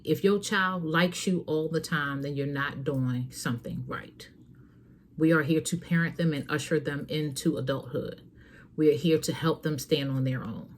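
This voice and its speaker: A woman's voice: deep voice, a little hiss or hum. A woman hosting a talk show, giving earnest, frank parenting advice straight to her listeners in a casual, preachy way. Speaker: host.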